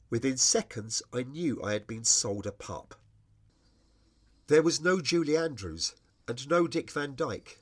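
Middle-aged British male narrator for wry personal essays; very lively intonation, slightly husky edge. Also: mid-range voice. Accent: British English. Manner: wry, expressive storytelling. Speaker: writer reading own personal essay. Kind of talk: scripted narration of humorous memoir.

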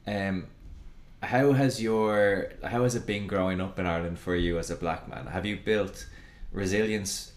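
Low-voiced host in an echoey room. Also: male voice, normal speed. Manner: thoughtful, hesitant with fillers. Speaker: podcast host, teacher. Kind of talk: casual interview chat. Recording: roomy echo.

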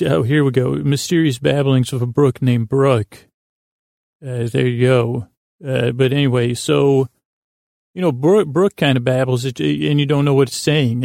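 A man with a soft-edged, mellow voice full of creak delivers a meandering bedtime monologue. His pitch stays very even as he halts, drifts off on tangents and loops back, all in a soothing, sleepy-time podcast host style. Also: dry recording, clean studio sound. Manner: halting, tangent-prone, circling back on himself. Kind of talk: rambling, meandering bedtime monologue meant to lull listeners to sleep.

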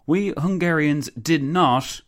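A male narrator plays a Hungarian countess in a historical audiobook. The delivery is formal and oratorical, indignant and imploring. The pitch is lifted well above the plain narrating voice. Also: animated, brisk, natural voice. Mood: indignant, pleading.

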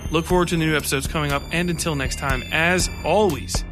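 A man's voice: a little high, steady tone, fast talker, music in the background. A man hosting a podcast in a casual, rambling, off-the-cuff and sweary manner. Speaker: podcast host.

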